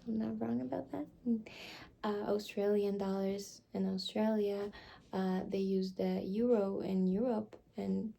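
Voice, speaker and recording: A young woman doing a whispered ASMR roleplay, speaking softly and hesitantly with many fillers. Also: mid-range voice, fairly even intonation, unhurried, gravelly. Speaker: ASMR creator.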